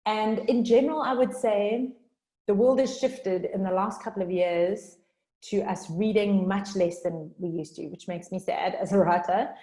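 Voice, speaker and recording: A woman with a South African accent giving a chatty workshop talk on a video call, talking fast and informally. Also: medium pitch, mellow. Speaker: workshop speaker. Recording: roomy echo.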